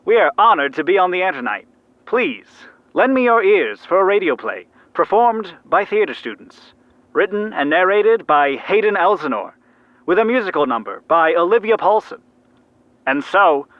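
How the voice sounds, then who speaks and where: A man playing a showy young 1940s college radio announcer, dramatic and smooth-talking. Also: high voice, no room sound, exaggerated voice. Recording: dull old-radio sound, dry.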